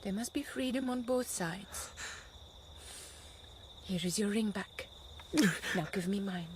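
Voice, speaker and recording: An actress voices a young wife and mother in a radio play, determined to be free. She speaks earnestly and with deliberate intensity at a medium woman's pitch. A light layer of background noise sits behind the voice. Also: close to an everyday voice. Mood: quiet, final. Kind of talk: radio drama acting.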